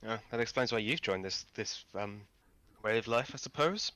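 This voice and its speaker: Man, low voice, bright tone, tabletop player, in-character role-play. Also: animated, moderate pace, smooth, dry recording.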